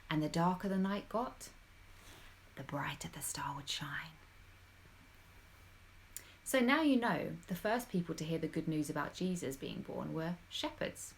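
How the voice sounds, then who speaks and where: A woman with a slightly low voice reads a storybook to children. She tells it expressively and with drama, addressing her young listeners directly. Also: vocal fry, a little hiss or hum, rough recording. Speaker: storybook reader.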